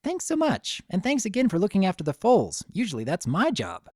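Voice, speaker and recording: A man plays a bubbly young cartoon mare in a storybook reading. The voice is pushed up high and bounces around. It gushes in a playful, sing-song way, excitable and sweet. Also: fairly quick, no room sound, studio quality, exaggerated voice. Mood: happy, playful.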